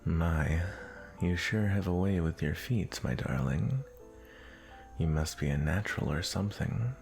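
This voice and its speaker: A man playing a smooth, possessive prince who flirts and coaxes in a romantic audio roleplay. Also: low voice, animated, a little slow, darkish tone, soft background music, heavily acted. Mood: flirtatious, coaxing. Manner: suave, flirtatious, coaxing. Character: smooth, possessive suitor prince courting his arranged bride.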